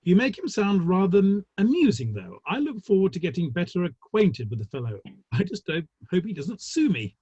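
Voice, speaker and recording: An actor playing a gossipy, urbane Victorian theatre gentleman with a dry, arch manner and a husky voice, in an online play reading. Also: high voice, very animated, moderate pace, hardly any pauses, full character voice. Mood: amiable, teasing. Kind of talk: dramatic play reading over the internet. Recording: muffled, roomy online call audio.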